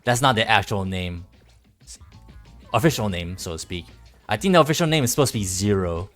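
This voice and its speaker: A man giving casual live game commentary in a rambling, halting, low-key way. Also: expressive, a bit bright. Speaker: game streamer. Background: faint game music.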